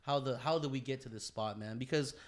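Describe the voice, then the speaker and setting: A man's voice: medium pitch, brisk. A man hosting a podcast, talking casually in slangy, informal conversation with friends. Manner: slangy and informal, says bro and dude. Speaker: podcast host.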